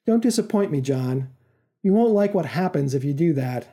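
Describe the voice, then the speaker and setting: Enigmatic woman avatar, read by a man: teasing, controlling, quietly menacing, very soft mellow tone. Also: a little high, lively intonation, moderate pace. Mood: assured, menacing. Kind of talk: audiobook-like story reading.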